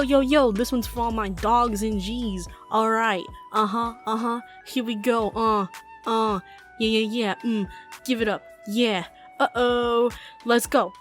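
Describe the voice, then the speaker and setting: A woman's voice: on the high side, lightly acted. A woman voicing a cocky, swaggering young male rapper in a comic fan dub, rapping playfully. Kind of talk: comic rap performance. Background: music.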